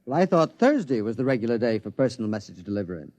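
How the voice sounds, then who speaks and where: Eager, polite assistant played by a man; hesitant, animated delivery. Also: medium pitch, very fast, no room sound, dull audio, lightly acted. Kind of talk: 1950s radio drama acting.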